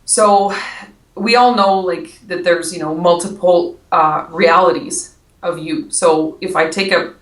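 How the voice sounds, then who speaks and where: A woman's voice: lowish voice, fairly even intonation, a little slow. A woman being interviewed as a guest, chatting casually and explaining ideas with simple everyday examples. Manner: friendly, explains with simple examples, laughs easily. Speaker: guest, Akashic records consultant. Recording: echoey room, far from mic.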